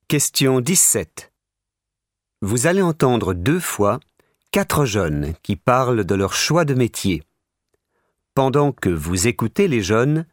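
A man reading scripted exam instructions as an announcer, in a formal, deliberate manner. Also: mid-range voice, no echo, studio quality.

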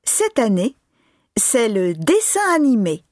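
Slow, melodic female announcement reader. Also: a little high, wildly swooping pitch, darkish tone, no room sound, clean studio sound. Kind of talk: scripted informational announcement.

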